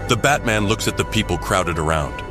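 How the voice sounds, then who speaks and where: A man narrating an audio drama in a measured, dramatic style. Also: deep voice, lively intonation, music in the background.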